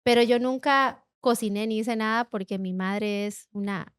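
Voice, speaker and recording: A woman's voice: a little high, normal speed, clear, resonant tone, no room sound, clean studio sound. A middle-aged woman from Bolivia, telling her story as a guest in a casual interview, with frequent hesitations. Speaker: guest, business co-founder.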